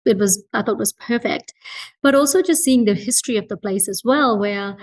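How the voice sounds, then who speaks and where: A woman's voice: mid-range voice, brisk, darkish tone. A woman from Fiji speaking as an interview guest in a reflective, conversational way, earnest and emphatic, repeating phrases for emphasis. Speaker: entrepreneur being interviewed as a guest.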